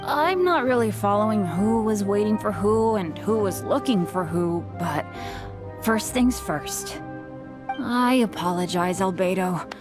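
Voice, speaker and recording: A woman's voice: medium pitch, measured pace, over music, close to an everyday voice. A woman voicing an earnest, good-natured teenage boy adventurer in a video game. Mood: confused, apologetic. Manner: eager, earnest. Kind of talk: animated game voice acting.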